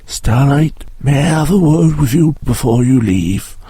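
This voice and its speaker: A man voicing a kindly old male headmaster wizard who speaks softly and fatherly in an amateur audio drama. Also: mid-range voice, animated, breathy edge, no room sound, exaggerated voice. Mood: gentle. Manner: soft, slow and fatherly.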